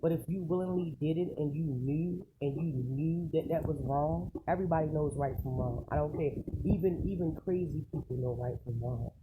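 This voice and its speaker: Noisy recording with clicks, mid-pitched voice, casual rambling commentary, emphatic, colloquial, rhetorical questions. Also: moderate pace, hardly any pauses, phone-quality sound. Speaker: online celebrity news commentator.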